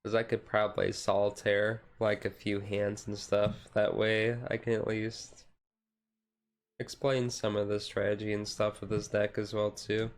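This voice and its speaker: A man with a fairly low voice talks casually to his stream. His delivery stays flat and unhurried in melody, wandering from thought to thought. He is quick to put himself down in an offhand way. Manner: rambling, self-deprecating. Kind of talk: casual live-stream chat while sorting cards.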